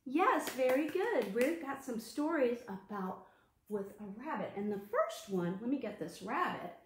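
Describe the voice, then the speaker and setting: A woman hosting an interactive storytime as a children's librarian, talking in an animated, sing-song way to small children. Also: on the high side, unhurried, echoey room, slight background noise.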